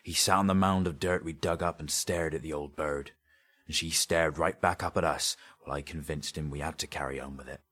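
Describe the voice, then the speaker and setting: A man's voice: a bass voice, fairly even intonation, a little fast, fairly bright tone. A man voicing a haunted, greedy grave robber in an audiobook story, with a confiding, colloquial way of telling things. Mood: grim, recounting.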